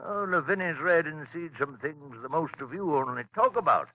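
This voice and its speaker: A man's voice: fairly high pitch, expressive, normal speed, close to an everyday voice. An actor playing a half-insane, boastful old rural grandfather who talks in cryptic rustic dialect, in 1940s radio drama style. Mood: sly. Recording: dull old radio broadcast.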